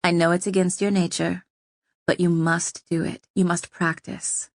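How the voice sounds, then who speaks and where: A woman's voice: fairly low pitch, little pitch movement, normal speed, creaky, no room sound, studio quality. A woman reading an audiobook, voicing a shrewd female poisoner who speaks soothingly but firmly. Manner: soothing, firm.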